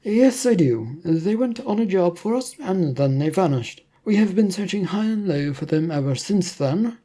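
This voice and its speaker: A narrator as a formal, raised-pitch agency boss. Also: expressive, mellow, natural voice. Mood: matter-of-fact. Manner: polite, measured, formal. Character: composed, formal male secret agency boss.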